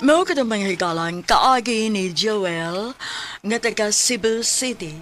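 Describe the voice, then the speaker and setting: A woman's voice: mid-range voice, animated, takes it slowly, a bit bright. A woman with a Cebuano accent reading a scripted announcement as a radio drama host. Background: faint music.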